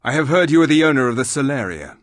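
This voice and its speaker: An actor playing a blunt, impatient resurrected warrior in a fantasy video game, speaking tersely in a husky voice. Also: male voice, medium pitch, big pitch swings, fast, no echo, clean studio sound, close to an everyday voice. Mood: stern, businesslike.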